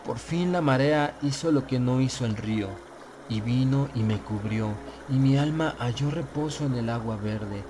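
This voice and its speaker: Male storyteller for gothic horror tale. Solemn, deliberate, dramatic, with a clear musical bed. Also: mid-range voice, over music. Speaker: audiobook narrator.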